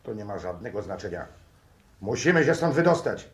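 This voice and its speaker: An actor playing a suspicious, easily offended scientist, indignant and emphatic, in 1960s radio drama style. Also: male voice, on the low side, big pitch swings, moderate pace. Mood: suspicious, agitated.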